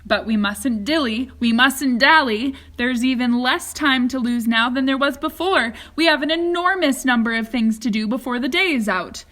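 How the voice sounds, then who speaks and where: A woman's voice: sits high, moderate pace, hardly any pauses, sharp tone. A woman voicing an exuberant, eccentric, cheerful male factory owner in a story, emphatic and full of exclamations. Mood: delighted, urgent. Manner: exclamatory, emphatic, repeats phrases.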